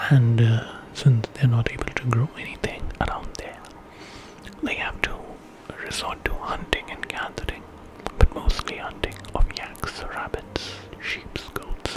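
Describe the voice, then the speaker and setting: A man telling an ASMR story in a hushed, unhurried whisper with pauses. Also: mid-range voice, poor audio. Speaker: ASMR storyteller, channel host.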